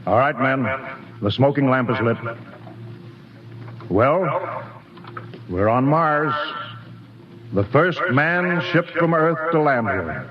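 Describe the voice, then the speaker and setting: A calm commanding ship captain. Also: male voice, mid-range voice, takes it slowly, long pauses, crowd noise. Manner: steady, commanding orders. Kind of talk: 1950s radio drama acting. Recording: dull lo-fi 1950s radio recording.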